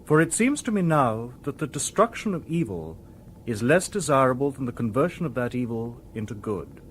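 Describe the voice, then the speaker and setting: An actor playing a polite, logical humanlike robot in a radio drama, speaking formally and precisely. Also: male voice, mid-range voice, very animated, moderate pace, slight background noise, lightly acted. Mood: solemn, gentle.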